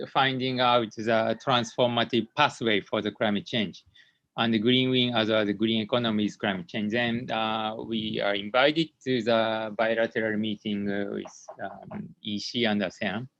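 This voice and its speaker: A man from Japan with a Japanese accent presents slides as a company founder in an online meeting, speaking haltingly with many hesitant fillers. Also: fairly low pitch, fairly even intonation, fairly bright tone, no room sound. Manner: halting, hesitant delivery with frequent long fillers. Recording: dull, lo-fi online meeting audio.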